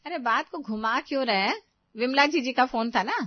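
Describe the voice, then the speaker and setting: A woman's voice: sits high, expressive, normal speed, no echo, highs cut off, pitched above the performer's own voice. A woman voicing a fretful middle-aged village wife in a story, nagging and complaining. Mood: indignant, nagging.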